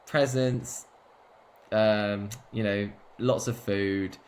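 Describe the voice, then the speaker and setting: A man's voice: fairly low pitch, steady tone, drawn-out words, darkish tone, a little hiss or hum. A man chatting casually as co-host, telling stories with frequent laughs. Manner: chatty storyteller, laughs often. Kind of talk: casual chat.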